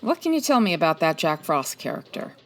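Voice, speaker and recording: A woman playing a wry, quick-witted 1940s private detective in a comedy audio drama, with an arch, teasing manner. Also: lowish voice, sing-song, a little hiss or hum. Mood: coy, teasing. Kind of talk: comedy audio drama acting, old-time radio detective.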